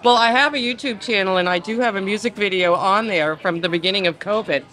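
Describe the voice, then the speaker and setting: A woman's voice: medium pitch, fast, pushed and bright, low-quality recording. An older woman chatting casually as an interviewee on a restaurant patio, speaking into a close stand mic. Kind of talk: casual chat. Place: covered restaurant patio outdoors.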